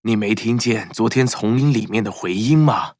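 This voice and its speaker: Guy doing a steady wolf dad for a kids cartoon, calm and in charge, dry close sound. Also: fairly low pitch, normal speed, no echo, close to an everyday voice. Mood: concerned. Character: steady, responsible male wolf father and elder. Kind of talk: children's cartoon story voice acting.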